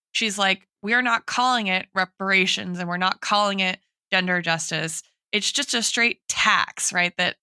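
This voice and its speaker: A woman's voice: on the low side, sharp tone, smooth, no echo, studio quality. A woman co-hosting a podcast in an analytical, intellectual conversational style, often tagging her points with a checking "right?". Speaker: podcast co-host and academic in political theory.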